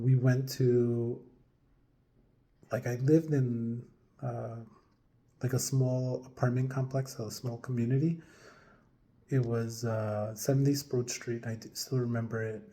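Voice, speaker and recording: A man in his forties from upstate New York, telling his own story to camera in a hesitant, casual way with many pauses and fillers. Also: mid-range voice, level tone, dark tone. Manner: hesitant, many ums and restarts, chuckles now and then. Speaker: vlogger.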